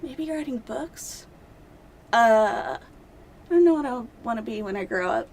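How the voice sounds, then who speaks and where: A woman's voice: on the high side, expressive, a little slow, darkish tone. Chatty young woman with filler words. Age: young adult. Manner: chatty and self-deprecating, many fillers and laughs. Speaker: doctoral candidate interviewee. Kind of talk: casual interview.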